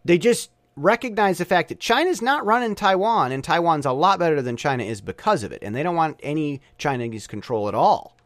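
A middle-aged man hosting a podcast, talking in a rambling, animated monologue full of restarts. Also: a little high, a little fast, hardly any pauses, no echo. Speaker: podcast host. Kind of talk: casual monologue. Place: Taiwan.

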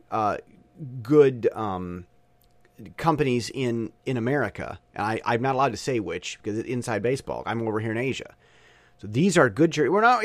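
A middle-aged man hosting a podcast, talking in a rambling, animated monologue full of restarts. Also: mid-range voice, no echo. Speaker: podcast host. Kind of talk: casual monologue. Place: Taiwan.

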